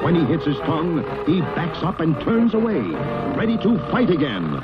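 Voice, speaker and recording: A man announcing a 1960s toy commercial in a dramatic, urgent manner. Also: on the high side. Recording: lo-fi old TV ad under loud music.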